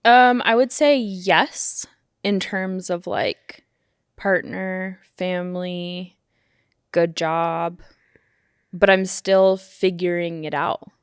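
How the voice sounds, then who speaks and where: A woman's voice: on the low side, very slow, clean tone, no room sound, studio quality. Chatty thirty-year-old woman podcaster. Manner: chatty, animated, laughs often and says "like". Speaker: podcast co-host. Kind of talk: casual chat.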